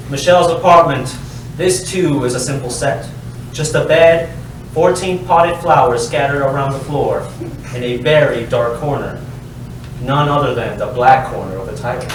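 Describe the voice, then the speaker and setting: A man reading scripted stage directions as a narrator at a comedic staged reading. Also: a little high. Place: live staged reading in a roomy space. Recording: very echoey noisy room, distant mic.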